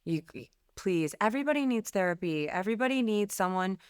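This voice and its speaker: A woman's voice: mid-range voice, animated, brisk, smooth, dry recording, clean studio sound. A woman guest speaking frankly and emphatically in a candid podcast conversation, swearing casually. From Lebanese background. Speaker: guest, company CEO.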